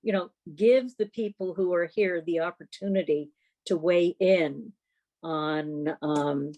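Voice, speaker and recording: A middle-aged woman chairing a club meeting on a video call, talking casually in a halting, rambling way. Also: lowish voice, warm tone.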